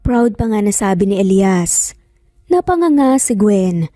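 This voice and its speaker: A woman's voice: medium pitch, big pitch swings, unhurried, mellow, dry recording, studio quality, soft background music. A woman narrating a dramatized romance story as a storyteller.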